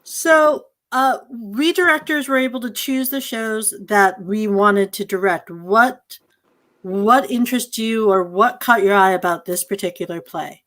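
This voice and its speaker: Woman hosting, medium-pitched voice. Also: unhurried, a bit bright. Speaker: host and theatre director. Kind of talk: informal on-stream interview. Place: remote video call.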